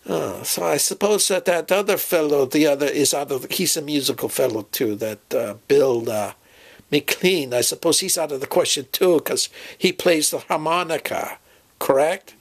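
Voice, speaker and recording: A man voicing a fussy, forgetful professor in a comedy sketch, halting, muddled and repeating himself. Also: fairly high pitch, vocal fry. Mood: fussy, exasperated. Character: doddering, fussy, forgetful male professor planning an expedition. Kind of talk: improvised comic dialogue sketch.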